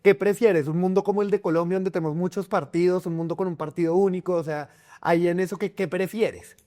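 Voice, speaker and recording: A man's voice: high-pitched, fairly even intonation, a little fast, dark tone. A man interviewing a guest, asking loose, friendly questions with frequent restarts.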